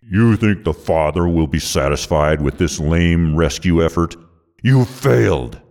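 A man's voice: sits low, animated, normal speed. A man voicing a sneering, hostile and scornful male villain in an audiobook. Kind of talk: audiobook character voice.

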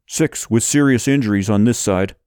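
A sober, businesslike servant in a story, acted by a male reader. The pitch is lifted a little from a low narrating voice, and the sound is dry and close. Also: normal speed, a little mellow, clean tone, no echo, lightly acted. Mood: sober urgency. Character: sober servant reporting on the injured.

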